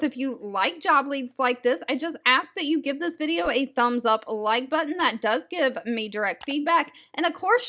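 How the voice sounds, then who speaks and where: A woman with a distinctly high voice presents information as an online host. She addresses her viewers directly in an upbeat, encouraging manner, sounding like she follows prepared notes. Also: a bit bright. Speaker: video host sharing remote job leads. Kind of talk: scripted-sounding informational vlog narration.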